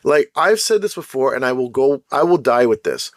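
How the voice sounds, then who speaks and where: A man hosting his own channel, ranting bluntly and emphatically, his voice going hoarse with frequent throat-clearing. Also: mid-range voice, lively intonation, moderate pace, no echo, clean studio sound. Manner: blunt, emphatic, repeats points. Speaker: channel host. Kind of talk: casual ranting commentary.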